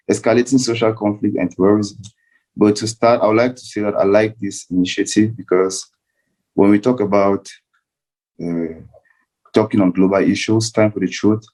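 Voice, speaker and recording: A man's voice: fairly low pitch, warm tone, low-quality recording. A young man with a Cameroonian accent speaking as a panel guest, searching a bit for his words. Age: young adult. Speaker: guest speaker, student climate activist. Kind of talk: informal panel speech.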